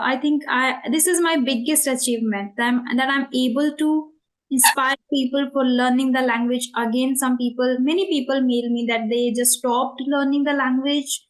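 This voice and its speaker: A woman with a slightly high voice hosts a casual chat about learning English. She speaks in an encouraging, reflective way, with a few fillers. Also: rough recording. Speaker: English teacher, host.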